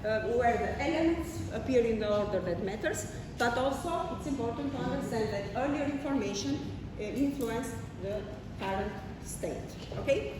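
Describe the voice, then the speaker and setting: A woman's voice: on the high side, expressive, moderate pace, few pauses. Woman lecturing in an echoey hall. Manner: explanatory, frequent fillers and restarts, checks with "okay?". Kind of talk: classroom lecture with questions to students. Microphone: far room microphone. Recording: echoey hall from far off, noisy.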